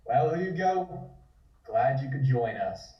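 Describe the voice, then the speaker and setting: An actor playing a wry, roguish 1920s charmer with casual, slangy talk, in a table read. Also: male voice, mid-range voice, expressive, slow pace. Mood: awkward, sardonic. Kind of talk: comic script table read. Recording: echoey, distant, muffled call audio.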